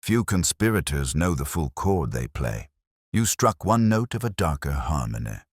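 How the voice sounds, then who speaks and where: A man voicing a shrewd, commanding Victorian detective in an audiobook, with deliberate, grave pronouncements. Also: a bass voice, big pitch swings, slightly rough, no echo, studio quality, exaggerated voice. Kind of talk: audiobook character dialogue.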